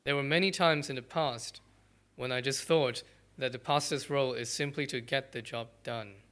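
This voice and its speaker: A man's voice: mid-range voice, fairly even intonation, unhurried, a bit bright. A man from Malaysia with a Malaysian accent, preaching a sermon as a pastor in short, earnest phrases. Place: church.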